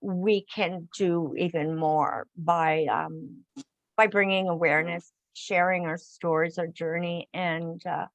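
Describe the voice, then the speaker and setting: An older woman hosting a conversational interview, speaking in a halting way with phrases that trail off. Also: lowish voice, fairly even intonation, no echo. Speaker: host interviewing guests.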